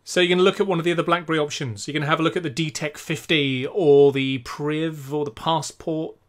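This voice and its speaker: Male co-host, forties, British, fairly high voice, explanatory, casual tech talk. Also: fairly even intonation, a little fast. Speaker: podcast co-host. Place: home office, video call. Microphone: studio condenser mic.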